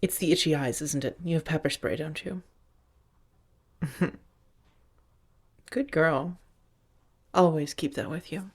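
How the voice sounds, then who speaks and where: Casting: woman to play protective female vampire roommate, low-pitched, soft and breathy, halting and earnest, intimate ASMR roleplay. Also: full character voice. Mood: amused, approving. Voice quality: soft, breathy, often dropping to a whisper.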